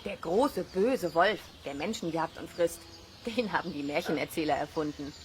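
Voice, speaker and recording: A woman's voice: low voice, lively intonation, normal speed. A woman playing a friendly, knowledgeable wolf keeper, explaining patiently in a children's radio play. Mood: friendly, instructive. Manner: patient, lightly amused explaining. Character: friendly, knowledgeable woman who looks after wolves. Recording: faint cassette noise.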